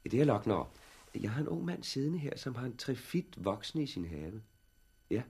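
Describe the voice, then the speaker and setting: An actor playing a brisk, authoritative middle-aged research director in a 1960s radio drama, with a husky voice. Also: male voice, medium pitch, big pitch swings, darkish tone. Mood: intrigued, brisk.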